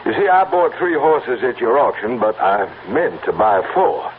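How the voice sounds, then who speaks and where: Radio play: actor as self-assured horse buyer, insistent and wheedling, very animated delivery, tinny vintage sound. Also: male voice, mid-range voice, normal speed, natural voice. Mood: persuasive. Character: cocky, persistent horseman wheedling to buy a horse. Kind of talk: old-time radio drama acting. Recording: tinny band-limited old radio recording with hiss.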